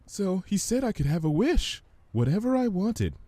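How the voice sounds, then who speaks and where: A man voicing a timid, anxious young female cartoon pony with a gentle, hesitant manner. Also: high voice, sing-song, normal speed, no echo, voice pushed up. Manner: hesitant, gentle, stammering when alarmed.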